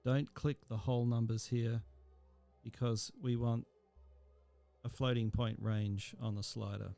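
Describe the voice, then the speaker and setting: A man giving an informal software tutorial as a teacher, explaining each step as he goes. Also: medium pitch, flat delivery, measured pace, vocal fry, soft background music.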